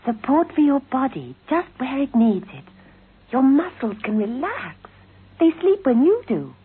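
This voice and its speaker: A woman's voice: high voice, big pitch swings, measured pace. An actress playing a reassuring, knowledgeable saleswoman in a scripted radio commercial. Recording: tinny vintage radio recording.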